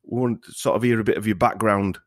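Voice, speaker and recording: A man's voice: on the low side, fairly even intonation, fast, no echo, clean studio sound. A man hosting a podcast in a casual discussion style. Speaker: podcast host.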